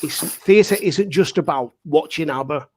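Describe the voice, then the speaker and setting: A man's voice: on the high side, a little slow, breathy edge. A man of forty-five speaking casually as a panel guest, earnest and emphatic, often repeating himself for stress. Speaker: pantomime dame and amateur theatre director.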